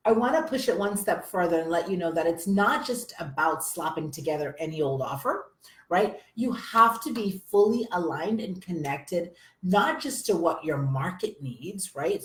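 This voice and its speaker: Hoarse woman coach streaming live. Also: fairly low pitch, echoey room, rough recording. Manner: energetic, chatty, addresses viewers as you guys. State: hoarse from a summer cold. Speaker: business coach. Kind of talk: live stream teaching to viewers.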